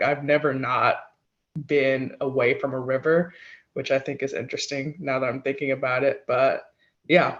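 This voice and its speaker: A man's voice: mid-range voice, highs cut off. A man sharing in a group call, hesitant, with lots of fillers. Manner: hesitant, lots of ums and likes. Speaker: workshop participant.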